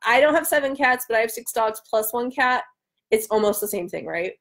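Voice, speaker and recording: Woman hosting a casual livestream at home, kinda loud n excitable, chats with commenters. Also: a little high, brisk. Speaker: author hosting a live stream. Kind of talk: casual live chat, answering viewer comments. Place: room at home by a large window.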